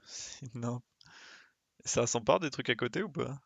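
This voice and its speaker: A man's voice: mid-range voice, unhurried, gravelly, a bit bright, dry recording. A man giving casual live gameplay commentary, thinking aloud and muttering to himself with small playful sound effects. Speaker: game streamer.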